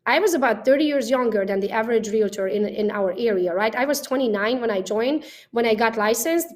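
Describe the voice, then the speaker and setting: Energetic Romanian-accented woman realtor. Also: on the high side. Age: 30s. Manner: energetic, emphatic, peppers talk with "right?". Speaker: guest realtor. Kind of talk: podcast interview.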